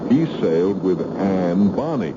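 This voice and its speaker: A man's voice: deep voice, animated, measured pace. An actor playing a middle-aged father at the helm of a small boat in an old-time radio drama, reassuring but stammering when rattled. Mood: storytelling. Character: family man skippering a small boat, falsely reassuring father and husband. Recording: muffled old radio recording, heavy noise.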